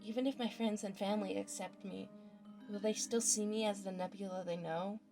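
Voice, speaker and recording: A woman acting an anxious, earnest high school student in a dramatic story reading, speaking hesitantly and with emotion. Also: steady tone, fairly quick, dark tone, lightly acted. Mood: hesitant, concerned. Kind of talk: dramatic reading of fan fiction. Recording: music under the voice.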